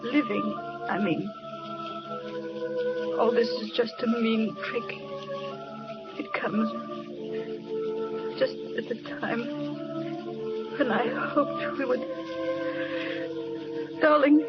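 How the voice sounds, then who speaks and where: An actress playing a devoted, dying young wife, speaking weakly and haltingly in a tender old radio drama style. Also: female voice, a little high. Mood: fading, wistful. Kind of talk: 1940s radio drama acting. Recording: muffled radio recording with loud music.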